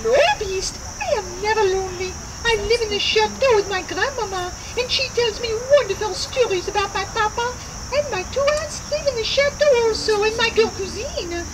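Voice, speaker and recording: A very high, childlike voice over loud outdoor noise and insects. A woman plays a brave little French nobleman in a puppet show. He speaks in earnest, formal proclamations. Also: moderate pace. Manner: grandiose, formal declarations. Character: brave, earnest eight-year-old French aristocrat boy puppet. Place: outdoor puppet theater at a reenactment. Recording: very noisy outdoor recording with insects.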